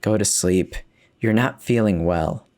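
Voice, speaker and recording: A woman playing a worried, frightened but defiant wife in a horror story, with an urgent, expressive delivery. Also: a bass voice, a little slow. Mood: uneasy.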